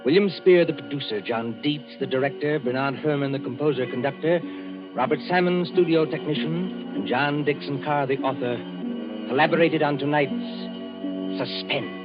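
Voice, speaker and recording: A man's voice: a little high, normal speed. Formal male radio announcer over music. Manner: formal, declamatory. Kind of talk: scripted announcer closing. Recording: muffled 1940s radio broadcast with music.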